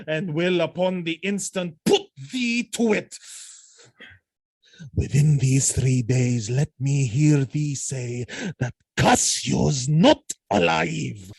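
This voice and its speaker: An actor playing a jealous, vengeful military commander in a Shakespearean audio drama, theatrical and brooding. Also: male voice, fairly high pitch, vocal fry, slightly rough, full character voice. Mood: grim, cold fury. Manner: theatrical, brooding, erupting into shouts.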